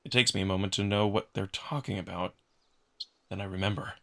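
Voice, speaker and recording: Gravelly man narrating a story. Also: low voice, fairly even intonation, brisk, a bit bright. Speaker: narrator and voice actor. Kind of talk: dramatic first-person visual novel narration.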